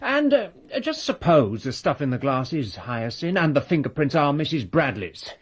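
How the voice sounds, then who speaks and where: Husky, sardonic police inspector. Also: male voice, on the high side, sing-song, normal speed. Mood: sceptical, needling. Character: sceptical, needling male police inspector. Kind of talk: radio drama acting.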